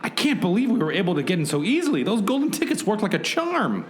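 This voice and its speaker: A man's voice: high voice, wildly swooping pitch, quick pace. A man acting as the plucky narrator of his own mock-heroic mission in a radio play, with a theatrical, dramatic delivery. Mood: eager. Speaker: narrator and lead actor. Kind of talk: first-person radio play narration with acted dialogue. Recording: music behind the voice. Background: music.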